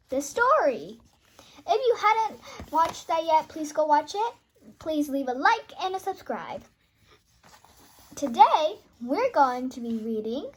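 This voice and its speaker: A young girl hosting a children's read-aloud and reading a story expressively. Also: sits high.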